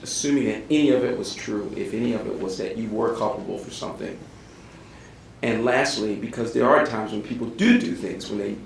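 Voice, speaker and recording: A man's voice: lowish voice, vocal fry. A man putting a careful, roundabout question to a panel. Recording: very echoey room, faint noise.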